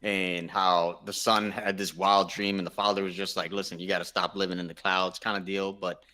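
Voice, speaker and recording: A man with a low, bright voice talks casually on a panel. He is effusive and keeps stopping to rephrase as he goes, with a forceful edge to his tone. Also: level tone, moderate pace. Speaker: gaming show panelist. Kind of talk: casual chat, movie discussion.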